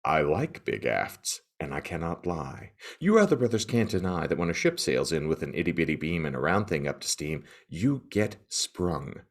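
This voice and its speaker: Man doing a deep-voiced, swaggering parody rapper. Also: sing-song, normal speed, creaky, hardly any pauses, heavily acted. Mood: hammy swagger. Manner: spoken-word rap parody, hammy. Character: swaggering, lusty male rapper parody, boastful and smitten.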